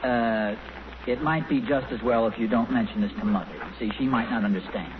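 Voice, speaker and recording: A man's voice: medium pitch, a little fast, close to an everyday voice. An American actor playing an amiable, flustered, hesitant father in a 1940s radio sitcom. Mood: conspiratorial. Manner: hesitant, stammering. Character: amiable, flustered sitcom dad with a conspiratorial streak. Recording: muffled, noisy 1940s radio broadcast.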